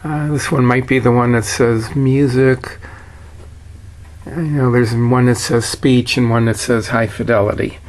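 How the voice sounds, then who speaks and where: Male, unhurried and level, middle-pitched voice; plainspoken seller doing an informal demonstration. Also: level tone. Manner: plainspoken, matter-of-fact. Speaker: seller demonstrating a vintage radio. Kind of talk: casual product walkthrough.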